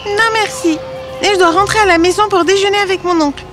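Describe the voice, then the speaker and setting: A young ninja girl from an animated fairy tale , played by a woman. Her extremely high voice is curious and determined, with music playing clearly behind it. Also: fast talker. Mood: wary.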